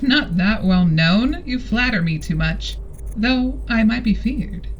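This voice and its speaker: A woman's voice: a little high, lively intonation, slow, highs cut off, slight background noise. A woman playing a feared, self-assured bounty hunter in a scripted sci-fi audio drama, teasing and wry. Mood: amused, teasing.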